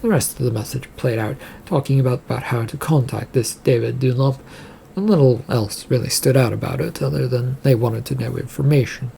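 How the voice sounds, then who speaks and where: A narrator with a husky, creaky voice, reading serial fiction aloud. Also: medium pitch, brisk, mellow, slight background noise.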